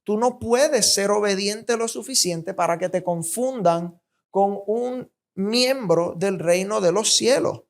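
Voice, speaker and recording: A man from Puerto Rico with a Puerto Rican accent, preaching a sermon with emphatic, rhythmic repetition that rises on key points. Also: sits high. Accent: Puerto Rican Spanish.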